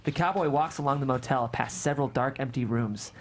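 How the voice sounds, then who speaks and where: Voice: medium pitch, fairly quick, a little hiss or hum. A narrator reading scripted stage directions at a table read of a screenplay.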